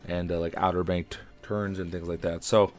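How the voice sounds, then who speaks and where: A young man with a sore throat and a raspy voice, chatting casually as he builds in a game. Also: sits low, little pitch movement, moderate pace, over music. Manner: chatty, enthusiastic, frequent fillers. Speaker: game video creator. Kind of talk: casual commentary while building.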